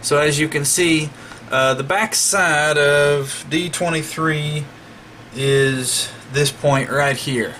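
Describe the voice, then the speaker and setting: A man's voice: fairly high pitch, steady tone, takes it slowly, bright, forceful tone, slight background noise. A man talking casually through a hands-on electronics repair, explaining step by step in a folksy way. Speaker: hobbyist radio repairer making a demonstration video.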